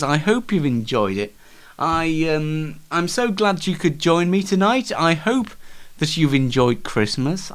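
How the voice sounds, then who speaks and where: Slow-paced male comedy host, lively pitch. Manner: self-deprecating, halting. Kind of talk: casual, scripted comedy interview and chat.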